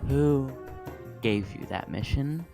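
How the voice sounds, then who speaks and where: A man's voice: mid-range voice, animated, slow pace, creaky. A man reading the part of a kind, supportive, plain-spoken young woman in a dramatic reading. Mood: friendly, helpful. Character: kind young woman who grew up poor. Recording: music behind voice. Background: music.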